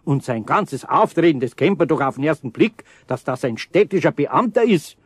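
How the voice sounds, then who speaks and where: An older Bavarian master craftsman in a children's radio drama. His voice is husky and fairly high for a man, closely and dryly recorded, grumbling yet good-natured. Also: lively intonation, moderate pace, no echo. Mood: mild scolding. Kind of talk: German children's radio play.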